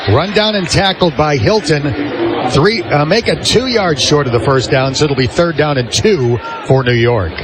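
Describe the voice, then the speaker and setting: A man's voice: on the high side, normal speed, a loud crowd, heavy background noise. A man doing live play-by-play football commentary on the radio as the announcer.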